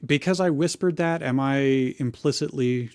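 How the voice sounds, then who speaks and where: Man bantering in a mid-pitched voice. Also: normal speed, a little mellow. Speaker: panelist and reader on a comedy show. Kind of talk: casual joking banter.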